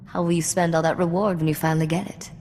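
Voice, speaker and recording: A woman's voice: on the low side, flat delivery, fast, darkish tone, long reverb tail, a little hiss or hum, natural voice. A woman playing a guarded, confident young smuggler's associate in a video game, controlled and matter-of-fact. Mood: cool, businesslike.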